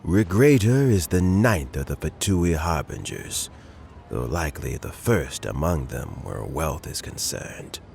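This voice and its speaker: A man playing an elderly, benevolent civic elder in a video game, speaking in a measured, deliberate, sage-like way. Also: rumbling low, creaky, a little mellow, a little hiss or hum, heavily acted. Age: older. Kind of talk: scripted video game character dialogue.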